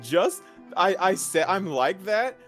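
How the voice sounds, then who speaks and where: Lively-pitched man in casual banter. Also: slow pace, soft background music. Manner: giggly, jokey. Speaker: guest content creator on a game ranking stream. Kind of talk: casual chat.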